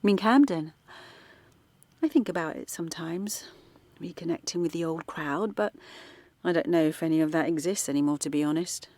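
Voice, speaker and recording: An actress playing a middle-aged former activist turned art teacher from London, talking softly late at night in a radio drama. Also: female voice, sits low, moderate pace, pauses often, no room sound, close to an everyday voice. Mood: reflective. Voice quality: soft, hushed late-night talk. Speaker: character in audio drama.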